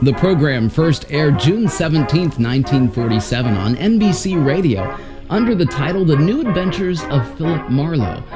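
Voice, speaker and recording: A man, reading a scripted introduction as a show presenter. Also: mid-range voice. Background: music.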